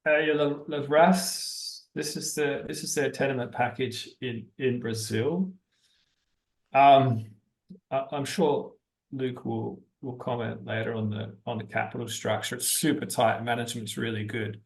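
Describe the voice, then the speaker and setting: Man, gravelly fry, halting thinking-aloud delivery, interview guest, explanatory investor talk. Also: mid-range voice, fairly even intonation, poor audio. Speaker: interview guest, geology-minded stock investor.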